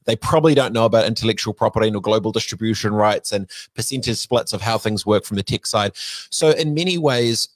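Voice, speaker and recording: A man's voice: lowish voice, a little fast, no echo. A man interviewing a guest, thinking aloud with many fillers before asking probing questions. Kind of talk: interview conversation.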